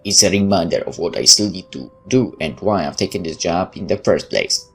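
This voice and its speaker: A woman's voice: extremely low pitch, animated, brisk, husky. A woman, a native Polish speaker, reading game narration aloud and commenting casually as a streamer, often stumbling and correcting herself. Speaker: let's play streamer.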